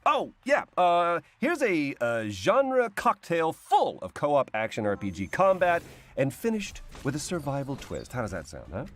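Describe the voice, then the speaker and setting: A man's voice: on the high side, wildly swooping pitch, a little slow, fairly bright tone. A man co-hosting a video game showcase, presenting scripted comedy in a playful, theatrical, jokey way.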